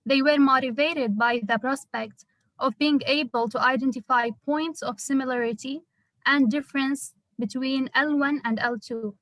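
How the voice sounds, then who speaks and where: High-voiced young woman. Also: steady tone, normal speed, a bit bright, dry recording, poor audio. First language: Arabic. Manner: careful, explanatory, slightly hesitant. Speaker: student. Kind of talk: class presentation.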